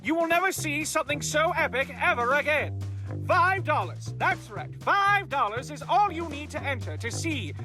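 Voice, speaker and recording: Man as bombastic high-pitched fight promoter. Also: animated, normal speed, over music. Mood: hyped, showy. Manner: bombastic, showy. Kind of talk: ringside hype announcement.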